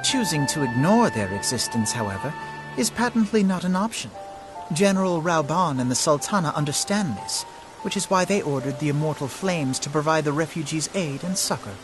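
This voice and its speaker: A man acting as a composed young advisor in a video game. He explains things in formal, articulate, measured phrases. Music plays clearly behind him. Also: fairly high pitch, animated, close to an everyday voice. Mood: pointed, concerned. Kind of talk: scripted video game cutscene dialogue.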